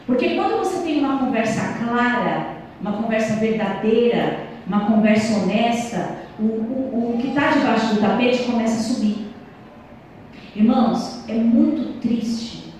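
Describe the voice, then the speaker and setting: An earnest woman in her thirties preaches to married couples into a handheld mic. Her voice sits high and carries a strong room echo. It is picked up from across a small church hall. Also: a little mellow, a little hiss or hum. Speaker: couples' speaker. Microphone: handheld mic, far camera. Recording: echoey hall from far off.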